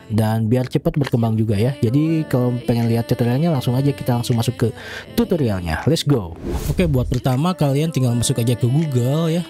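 A man's voice: medium pitch, warm tone. Brief: young male tutorial host, friendly and chatty, studio mic at home, music bed under him. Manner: chatty, friendly, keeps addressing viewers as friends. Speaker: YouTube tech tutorial host. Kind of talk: casual step-by-step software tutorial. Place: small home room lit with blue LED lights. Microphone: large studio mic on a boom arm.

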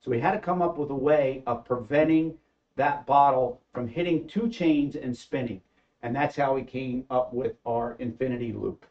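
A man's voice: mid-range voice, normal speed. A middle-aged man talks through how a machine was invented, stopping often on ums and uhs. His voice sits mid-range and sounds a little dull, as if recorded on a modest studio setup. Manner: hesitant, many fillers. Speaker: company engineer, co-inventor. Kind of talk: casual panel discussion. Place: studio set with backdrop.

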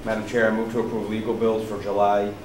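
Flat-voiced man reading a motion. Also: fairly low pitch, quick pace. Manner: flat and businesslike. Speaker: select board member. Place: town hall meeting room. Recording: distant echoey room with hiss.

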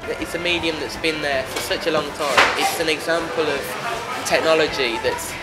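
A man's voice: fairly high pitch, steady tone, normal speed, rough recording. A man in his twenties talking thoughtfully in a documentary interview. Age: 20s. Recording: loud music behind the voice. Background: loud music.

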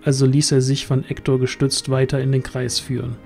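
A man's voice: medium pitch, completely flat delivery, brisk, gravelly, darkish tone, soft background music. A man narrating a scripted fantasy audio drama as its storyteller.

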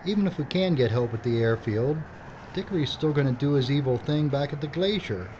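Hero role for a man: determined, worried fugitive, animated delivery, slightly muffled audio drama sound. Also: mid-range voice, fairly quick, slight background noise, close to an everyday voice. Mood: urgent resolve.

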